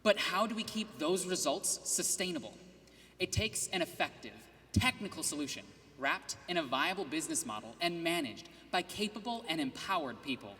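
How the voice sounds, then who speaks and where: A man giving a rehearsed student team presentation in a steady, scripted way. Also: on the high side, moderate pace, in a large hall.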